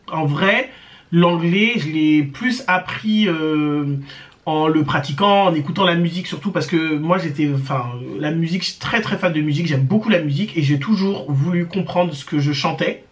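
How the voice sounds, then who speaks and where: A woman's voice: low-pitched, normal speed. Woman, casual storyteller to camera; hesitant, filler-heavy, conversational. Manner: hesitant, many fillers and restarts; chatty, addresses viewers directly. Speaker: storytime vlogger.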